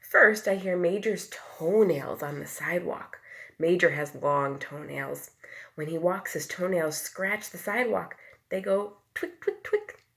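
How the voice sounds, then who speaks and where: Expressive woman reading to preschoolers, slightly creaky. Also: on the low side, unhurried. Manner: acts out sound words, dropping to whispers and rising to loud calls; asks the young listeners questions and explains simply. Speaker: pre-K teacher reading aloud. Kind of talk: expressive picture book read-aloud to young children.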